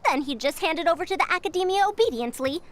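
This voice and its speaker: A woman voicing a bright, analytical young researcher and mechanic in a video game. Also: extremely high pitch, expressive, quick pace. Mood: thoughtful, earnest. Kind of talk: scripted game voice acting.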